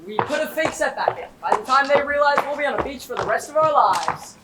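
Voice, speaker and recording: Teen girl as a cool, high-voiced thief. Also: expressive, fairly quick. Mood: smug. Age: teenager. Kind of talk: theatrical stage acting. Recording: distant echoey stage, loud noise.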